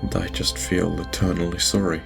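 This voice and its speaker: An actor playing a guilt-ridden lord in an audio drama, dictating a private log in halting, stammering speech broken by sighs. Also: male voice, sits low, flat delivery, gravelly. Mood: distressed, remorseful. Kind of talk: audio drama acting, personal log monologue. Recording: music behind the voice. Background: music.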